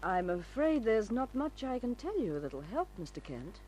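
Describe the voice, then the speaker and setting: A cool, secretive dame in an old radio detective serial. Her delivery is curt and poised, with lively swings of pitch, over faint hum. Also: normal speed. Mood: cool, evasive. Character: cool, guarded femme fatale brunette woman. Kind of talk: old-time radio crime drama acting. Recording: noisy humming old radio recording.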